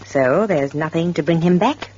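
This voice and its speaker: An actress playing a proud, hardworking woman farmer with a firm will, brisk and emphatic in old radio drama style. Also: deep voice, darkish tone, close to an everyday voice. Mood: bitter, resolute. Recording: dull, noisy old radio recording.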